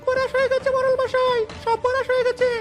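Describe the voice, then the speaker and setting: Shrill, frantic cartoon villager. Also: male voice, monotone, quick pace, over music. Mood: panicked. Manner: frantic, alarmed. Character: panicked male villager bringing disaster news. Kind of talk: animated moral story voice acting.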